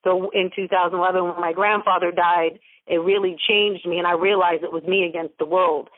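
A woman's voice: lowish voice, fairly even intonation, fairly quick, dry recording. Woman mentor and founder, emphatic motivational speaker, tinny phone-line audio. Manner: emphatic, motivational, stacks repeated phrases. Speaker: guest, mentor and business founder. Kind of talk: interview over a phone line.

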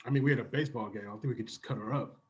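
A man with a husky, medium-pitched voice sets up improv comedy scenes. He is hesitant and repeats words, and the audio is a little dull. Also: rapid-fire, a little mellow. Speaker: improv comedy performer calling scene changes.

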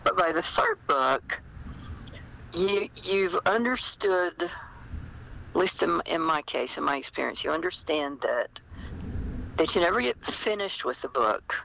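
An older woman chatting as an interview guest, reflective and anecdotal, with hesitant pauses. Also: low voice, gravelly, sharp tone, poor audio. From small-town United States. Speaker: guest, novelist. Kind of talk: casual interview chat.

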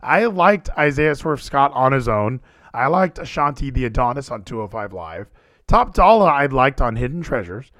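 A man talking casually and animatedly as a podcast co-host, full of quick asides. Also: mid-range voice, sing-song, fairly bright tone, no room sound, studio quality. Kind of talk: casual wrestling chat.

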